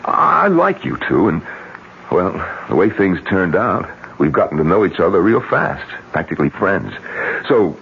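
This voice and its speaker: A man's voice: low voice, very animated, normal speed, gravelly, slightly rough, heavily acted. An actor playing a tough, self-assured but generous man in a 1970s radio drama, blunt in manner and sometimes hesitant. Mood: kindly, halting. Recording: dull, slightly noisy 1970s radio broadcast.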